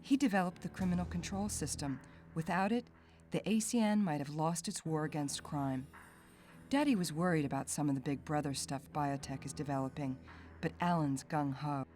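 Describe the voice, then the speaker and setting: An actress playing a poised, vengeful young heiress in a video game, cool and dry in delivery. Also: female voice, low voice, moderate pace, darkish tone, soft background music, close to an everyday voice. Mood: matter-of-fact, reminiscing. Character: poised, sardonic, vengeful young heiress, murdered man's daughter. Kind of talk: video game cutscene acting.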